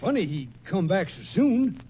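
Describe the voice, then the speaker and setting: An actor playing a superstitious, quick-tempered prospector in an old radio western, with an American accent and excitable, folksy speech. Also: male voice, high-pitched. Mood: exasperated. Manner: excitable, folksy backwoods grammar. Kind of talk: 1940s radio western acting. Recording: muffled, noisy old radio recording.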